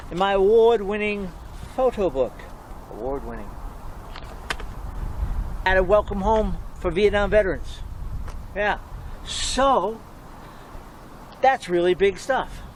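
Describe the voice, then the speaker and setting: A man with a fairly high voice chats casually to his own camera, upbeat and eager to plug his work. Street and crowd noise runs under everything, picked up by the camera's mic. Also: poor audio. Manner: upbeat, self-promoting, chatty. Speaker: author and videomaker. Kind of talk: casual vlog narration to camera. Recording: outdoor camera audio with background noise.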